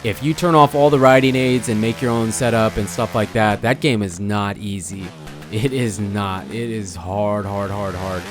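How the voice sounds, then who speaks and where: A young man with a hoarse, fading voice, chatting casually as he comments on his own gameplay, often stopping to correct himself. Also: on the low side, fairly even intonation, normal speed, over music. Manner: rambling, self-correcting, chatty. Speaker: gaming channel host.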